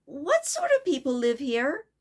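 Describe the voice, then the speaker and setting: A woman's voice: sits high, huge pitch swings, a little slow, voice pushed up, exaggerated voice. A woman voicing a polite, curious young girl, speaking in a raised, earnest, slightly prim tone in a storybook reading. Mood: inquisitive. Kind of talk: storybook read-aloud.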